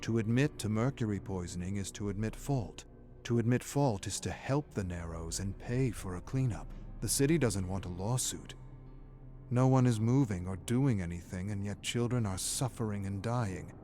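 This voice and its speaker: A man reading a scripted story as a dramatic narrator, grave and deliberate. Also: fairly low pitch, warm tone. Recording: music playing behind the narration. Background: background music.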